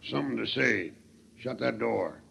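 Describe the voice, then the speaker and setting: Gravelly, blustering old-west sheriff. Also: male voice, medium pitch, normal speed. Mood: wary, conspiratorial. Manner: folksy western drawl, blustering and shrewd. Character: gruff, suspicious old-west frontier sheriff. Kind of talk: 1930s radio western acting. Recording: crackly noisy 1930s radio recording.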